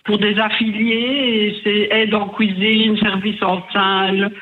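A woman's voice: mid-range voice, little pitch movement, slow. A woman in her sixties, newly retired, calling a radio quiz by phone, hesitant and chatty. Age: 60s. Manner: hesitant, chatty, laughs easily. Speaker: caller. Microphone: phone on speakerphone. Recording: phone line with faint noise.

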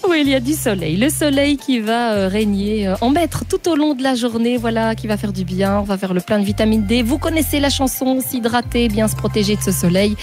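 A woman's voice: on the high side, brisk, few pauses. Woman, weather presenter, chatty, faint music behind. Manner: bright, chatty. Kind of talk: radio weather forecast.

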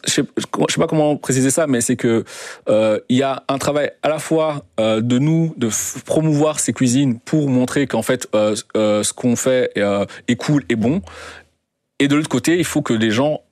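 A young man speaks as a radio interview guest. His voice sits at a medium pitch and is recorded dry and close. He talks conversationally, often hesitating and repeating words as he feels his way to a phrase. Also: normal speed, a little mellow, dry recording. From Belgium. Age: young adult. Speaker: interview guest, documentary maker.

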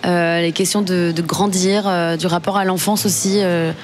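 A woman's voice: fairly low pitch, fairly even intonation, moderate pace. A young woman from France in her twenties with a Parisian accent, a singer chatting spontaneously and hesitantly in an interview. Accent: Parisian French. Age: 20s. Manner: spontaneous, hesitant, many restarts and "euh". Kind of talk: casual interview chat. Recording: crowd noise behind.

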